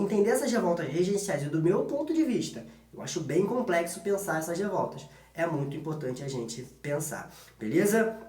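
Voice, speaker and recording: Man, Brazilian accent, history teacher, colloquial exam-prep lesson, frequent check-in tags, echoey room. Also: high-pitched. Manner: colloquial, checks in with tag questions.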